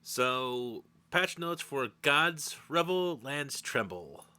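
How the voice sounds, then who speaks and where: A man's voice: mid-range voice, sing-song, extremely slow, bright, forceful tone, clean tone. A man hosting a casual game chat show, with playful, exasperated banter. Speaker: podcast host.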